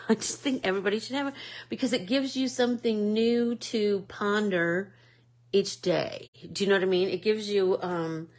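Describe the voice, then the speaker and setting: A woman's voice: medium pitch, fairly even intonation, moderate pace. A woman with a Midwest accent hosting a live art class, chatting casually and rambling with lots of fillers. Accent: American Midwest. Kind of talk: casual chat while painting.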